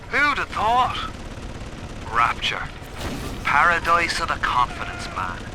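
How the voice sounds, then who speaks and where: Actor as a slow-talking, scheming male con man. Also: medium pitch, natural voice. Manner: gloating, cynical tough-guy talk. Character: scheming, bitter male con artist and crime boss. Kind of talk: video game audio diary. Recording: noisy old audio diary.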